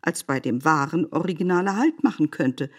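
Slightly low learned female narrator. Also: lively intonation, normal speed. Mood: learned, deliberate. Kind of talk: literary scripted narration, radio play.

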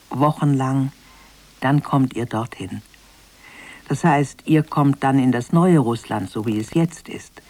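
A woman playing a Russian fairy-tale storyteller, speaking to children in an expressive, theatrical way with deliberate emphasis. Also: low voice, dry recording, exaggerated voice.

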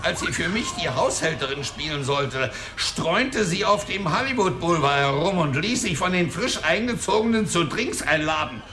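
A man's voice: fairly high pitch, animated, normal speed, few pauses, bright, forceful tone. An actor playing a cantankerous, scrawny old man who talks boastfully and crudely in a radio play. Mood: mocking. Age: older. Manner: cranky, mocking, boastful.

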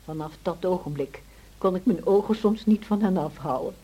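Earnest housekeeper, slightly husky. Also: female voice, lowish voice, moderate pace, mellow. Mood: earnest, concerned. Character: Nelly Dean, loyal anxious housekeeper recounting past events. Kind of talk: radio drama narration, storytelling to a listener.

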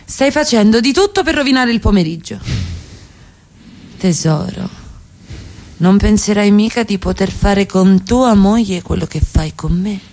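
A woman's voice: mid-range voice, lively intonation, unhurried, pauses often, mellow. A woman plays a married mistress in a radio drama. She coaxes, questions and protests in a mid-range voice, pleading and defensive with her lover. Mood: coaxing, exasperated. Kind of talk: radio theatre acting.

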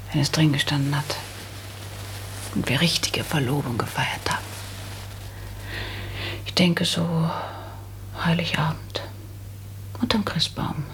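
An actress playing a prim, practical and resolute young woman in a German radio drama, speaking firmly with a husky, creaky voice. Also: deep voice, fairly bright tone, exaggerated voice. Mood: instructing. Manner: firm, matter-of-fact.

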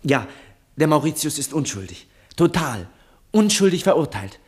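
A man's voice: fairly high pitch, sing-song, normal speed, breathy edge. A young man playing an earnest, defiant teenage son in a vintage radio play, speaking in clipped, insistent phrases. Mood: defiant, excited. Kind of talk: mid-century German radio drama acting.

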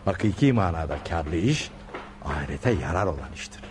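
A benevolent Sufi elder of calm authority in a radio drama. His fairly low voice is measured and solemn, recorded with noticeable noise. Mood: solemnly instructing. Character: kindly, pious Sufi master, calm and authoritative. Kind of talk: Turkish radio theater acting. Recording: clear background noise.

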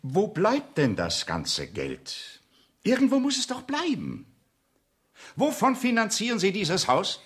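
An actor playing a sarcastic, contemptuous banker in a radio play, scornful and incredulous. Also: male voice, high-pitched, huge pitch swings, slow pace, full character voice. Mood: sarcastic, indignant. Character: sarcastic, contemptuous male banker pressing for answers.

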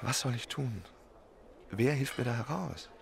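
Florid lovesick young marquis, noisy background. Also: male voice, medium pitch, a little slow, creaky. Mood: desperate, scheming. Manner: affected, florid, confiding speech. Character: lovesick young French marquis, dramatic, spoiled, talkative. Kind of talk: German radio drama acting.

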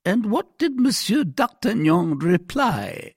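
A pompous, easily flustered police commissary from an audiobook. The voice is pitched very high and swings wildly, with a husky edge. He questions imperiously and indignantly, drawing out his words. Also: big pitch swings, slow pace, dry recording, studio quality, heavily acted. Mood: stern, accusing. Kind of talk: dramatized audiobook reading.

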